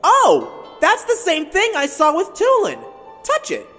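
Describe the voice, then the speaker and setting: A woman's voice: extremely high pitch, wildly swooping pitch, a little slow, a bit bright, in a large hall, faint music behind, full character voice. A woman voicing an eager, goofy young male hero in a fan radio play. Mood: reassuring.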